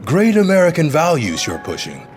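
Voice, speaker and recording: An actor playing a slick, scheming, domineering city mayor in an audio drama, with a politician's smooth, bossy manner. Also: male voice, a little high, wildly swooping pitch, moderate pace, soft background music. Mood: gushing, ingratiating. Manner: smooth politician's charm turning to bossy irritation.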